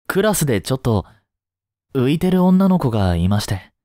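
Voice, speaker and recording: A man playing a caring, easygoing high school teacher in a voice drama, speaking conversationally with gentle concern. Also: big pitch swings, normal speed, no room sound, studio quality, lightly acted. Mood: worried, polite. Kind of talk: scripted Japanese voice drama acting.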